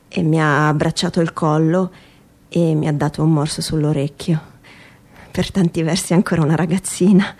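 A woman's voice: deep voice, dark tone, husky. A woman performing a theatrical monologue as a world-weary old male sheriff, reflective and plain-spoken.

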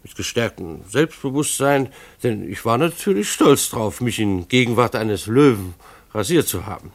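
Wry schoolteacher husband, lively gravelly voice. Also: male voice, medium pitch, normal speed. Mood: wry narrating. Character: beleaguered, mild-mannered schoolteacher husband, fond of animals. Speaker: narrator and lead character. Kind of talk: German radio play acting with first-person narration.

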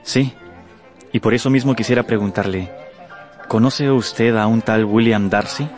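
A man's voice: lowish voice, measured pace, a little mellow, soft background music, some chatter behind, close to an everyday voice. A man playing a charming young officer, courteous and aggrieved, in a radio drama. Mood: aggrieved, confiding.

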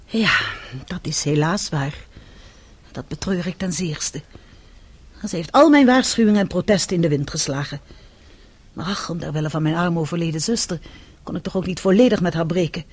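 An actress playing a prim, disapproving, unworldly spinster aunt in a radio play, speaking formally and huskily. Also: female voice, fairly low pitch, moderate pace, long pauses, breathy edge, dry recording. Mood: prim regret. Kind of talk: Dutch radio play acting.

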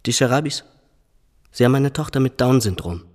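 Actor as brisk police detective in echoing room. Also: male voice, medium pitch, animated, natural voice. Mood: businesslike. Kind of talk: radio drama acting.

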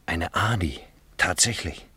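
A man's voice: sits low, a little slow, pushed and bright, husky, no echo, full character voice. An actor playing a wry, down-to-earth petrol station man in a 1950s German radio drama, chatty, colloquial and joking, on an old noisy recording. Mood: dry, knowing.

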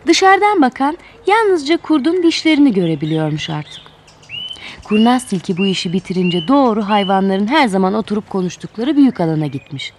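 A woman telling a children's fairy tale in expressive, scripted narration. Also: medium pitch, fairly quick, slight background noise. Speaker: children's storyteller.

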